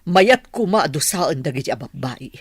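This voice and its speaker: A woman playing a concerned older mother with a husky voice in an Ilocano radio drama. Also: deep voice, animated, normal speed, no room sound, studio quality, heavily acted. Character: concerned older mother, questioning about her son.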